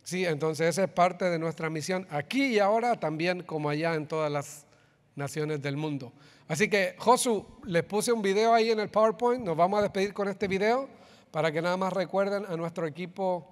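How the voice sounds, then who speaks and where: A man's voice: high voice, normal speed. A man with a Chilean accent giving announcements to a congregation and leading them through a group reading. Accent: Chilean Spanish. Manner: upbeat, addresses congregation directly, leads group reading. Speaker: pastor giving church announcements. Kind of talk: church announcements. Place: church sanctuary. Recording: long hall reverb.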